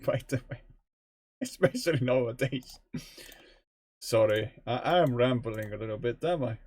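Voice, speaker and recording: A young man muses aloud at an unhurried pace, his pitch swinging widely from line to line. He talks in a loose, chatty way and swears casually. The recording is dry and close, with no room sound. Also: no room sound. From Estonia. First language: Estonian. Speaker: streamer. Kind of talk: rambling gameplay commentary.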